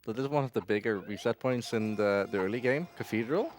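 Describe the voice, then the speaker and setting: A man giving casual live commentary as he plays a game, halting and distracted. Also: fairly low pitch. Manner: halting, distracted while playing. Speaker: speedrunner. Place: live gaming marathon at a hotel event. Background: faint game music.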